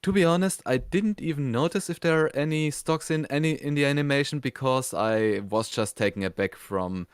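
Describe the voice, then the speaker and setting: A man co-hosting a casual review, talking in a halting way and repeating words as he forms his thoughts. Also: fairly high pitch, clean tone, dry recording, studio quality. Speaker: co-host of an animation review show.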